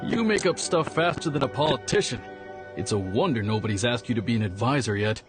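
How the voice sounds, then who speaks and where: A man voices a skeptical game policeman at a middling pitch. He has a dry, matter-of-fact way of reporting with a sarcastic edge, and music plays clearly behind. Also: expressive, fairly quick, lightly acted. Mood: skeptical, teasing. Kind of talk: video game voice acting.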